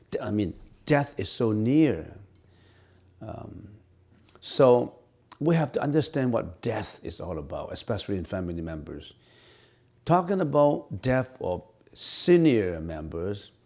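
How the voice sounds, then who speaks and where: A man whose first language is Cantonese, a Buddhist monk answering questions in a dharma talk, halting with frequent restarts and fillers, slowing down to stress his points. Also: on the low side, darkish tone. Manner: slow, emphatic teaching tone.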